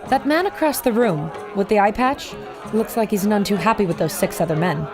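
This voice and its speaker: An actress playing a practical, urgent adventuring heroine in a pulp radio serial. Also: female voice, mid-range voice, expressive, a little fast, music in the background, a crowd in the background, close to an everyday voice.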